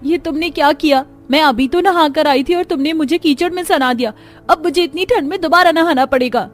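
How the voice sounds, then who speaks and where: A woman's voice: sits high, brisk, faint music behind, lightly acted. A woman voicing young village daughters-in-law in a moral-story cartoon, theatrical and exclamatory as they bicker and complain. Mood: indignant.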